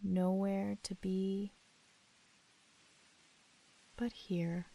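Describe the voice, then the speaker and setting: A woman leading a guided sleep meditation in a gentle, soothing, unhurried manner with long pauses. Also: medium pitch, little pitch movement, creaky, poor audio.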